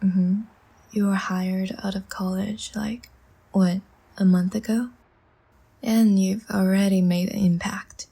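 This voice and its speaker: Woman playing a languid, breathy, half-whispering vampire seductress. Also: mid-range voice, level tone, heavily acted. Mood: soothing, teasing. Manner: slow, soft, teasing and soothing. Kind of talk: intimate ASMR audio roleplay.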